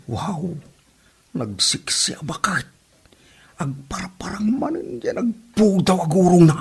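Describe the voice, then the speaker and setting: Husky, lovestruck comedy-drama man, Ilocano accent. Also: high-pitched, sing-song, slow pace, exaggerated voice. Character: lovestruck, excitable married tricycle driver in Ilocano comedy.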